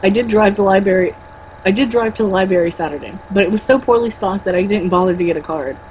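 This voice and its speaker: A woman with a medium-pitched voice reads a book aloud in a casual way, slipping into mocking asides. She sounds sarcastic and exasperated and swears freely. There is noticeable noise behind her. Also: quick pace, darkish tone, low-quality recording. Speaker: co-host reading a novel aloud with mocking commentary. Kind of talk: read-aloud mixed with casual banter.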